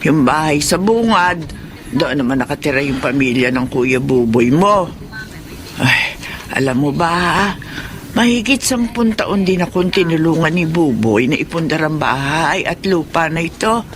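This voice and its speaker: Radio drama role: gentle old mother, motherly and halting, expressive pitch, slightly noisy track. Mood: gentle, reassuring. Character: elderly mother, gentle, apologetic, hiding a secret.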